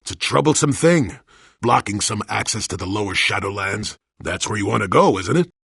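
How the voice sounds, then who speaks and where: A man playing a cantankerous, wry old hermit in a video game, with a raspy, gravelly voice and a crotchety, theatrical delivery. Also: lowish voice, animated, moderate pace, fairly bright tone, no echo, clean studio sound, exaggerated voice. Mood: firm, bargaining. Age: older. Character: cantankerous wry old male hermit, a Force-sensitive former Jedi. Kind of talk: video game character voice acting.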